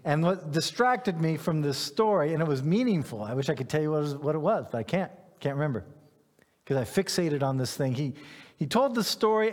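A middle-aged man from Ghana, a pastor giving an informal, conversational sermon in an anecdotal, self-deprecating way. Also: fairly high pitch. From Ghana, West Africa. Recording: long hall reverb.

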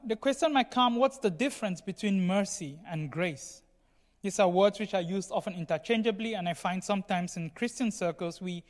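High-voiced man preaching in echoey hall. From Cameroon. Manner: explanatory, frequently checks in with "right?" and "you know". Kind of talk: sermon, conversational teaching. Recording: long hall reverb.

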